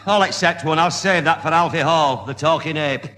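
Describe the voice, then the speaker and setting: Man playing an excitable high-voiced blustering schemer. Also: moderate pace, close to an everyday voice. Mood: indignant. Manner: blustering, agitated. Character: excitable scheming neighbour man, easily outraged. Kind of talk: 1970s radio sitcom acting. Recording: noisy old radio recording, hall reverb.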